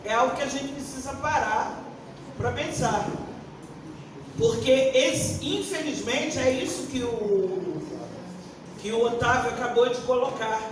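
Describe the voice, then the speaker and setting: A Brazilian woman of sixty-one answering on a forum panel like a pastor, deliberate and emphatic, searching for words as she goes. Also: on the high side. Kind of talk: unscripted panel answer with preaching tone. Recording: echoey room, distant mic, faint noise.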